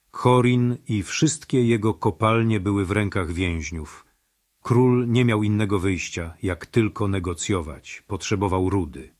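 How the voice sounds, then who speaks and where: A man's voice: lowish voice, a little mellow, no room sound, clean studio sound, natural voice. A man playing a powerful mage who narrates the history of a kingdom in an audio drama. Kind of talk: scripted fantasy prologue narration.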